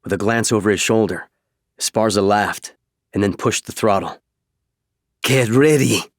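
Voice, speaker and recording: Male narrator for novel audiobook, lowish register, close dry studio sound. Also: fairly even intonation, moderate pace, no echo. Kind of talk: scripted fiction narration.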